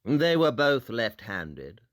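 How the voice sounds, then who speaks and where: Shrewd, self-assured male detective actor, audio drama; deliberate, theatrical, sharp voice with lively swings. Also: mid-range voice, no echo, studio quality. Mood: pensive, intense.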